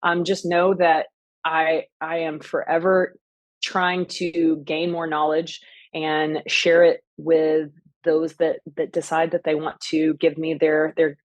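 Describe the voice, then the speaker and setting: A woman's voice: low-pitched, level tone, slow, dry recording. A woman in her forties from Texas with a Texan accent, hosting her own show in a loose, rambling solo talk full of fillers and restarts. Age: 40s. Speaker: podcast host, physician. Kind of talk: casual solo monologue.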